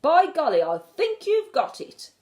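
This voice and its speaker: A woman's voice: very high voice, huge pitch swings, measured pace, darkish tone, pitched above the performer's own voice, heavily acted. Woman acting old storybook grandmother, shrewd, doting, emphatic, swooping intonation. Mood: exclaiming.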